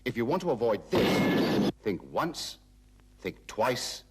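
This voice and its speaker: A British man with a husky voice presenting road safety advice, speaking directly and emphatically to the listener. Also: medium pitch, big pitch swings, slow, far from the mic, very noisy.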